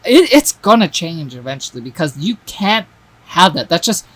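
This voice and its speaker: Lively young man, laughing, swearing. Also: fairly high pitch, moderate pace. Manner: laughs often, swears freely, jokey exaggeration. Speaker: podcast co-host. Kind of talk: casual chat.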